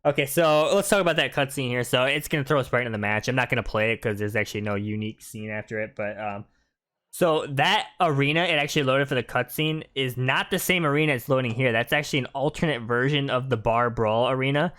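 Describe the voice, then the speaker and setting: A man's voice: mid-range voice, fast, a bit bright. A man giving casual, informal, explanatory commentary over video game cutscenes. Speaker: video game streamer, narrator of playthrough.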